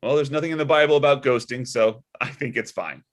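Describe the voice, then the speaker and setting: A man's voice: medium pitch, close to an everyday voice. Man playing a hesitant, earnest deacon dad. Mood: dry, awkward. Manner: hesitant, halting. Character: awkward, earnest widowed father, a church deacon. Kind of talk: comedy script table read.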